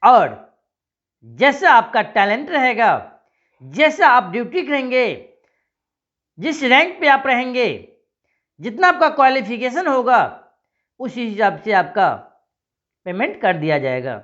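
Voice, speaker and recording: A man promoting a job scheme, pitching straight to viewers and answering their imagined questions with repetition. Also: very animated, takes it slowly, pauses often, a bit bright, dull audio.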